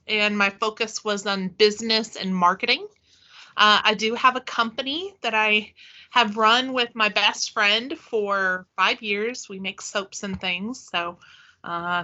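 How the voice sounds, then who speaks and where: A woman's voice: on the high side, bright, forceful tone. A woman in her forties from the US East Coast casually introducing herself as a student on a podcast. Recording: muffled lo-fi audio.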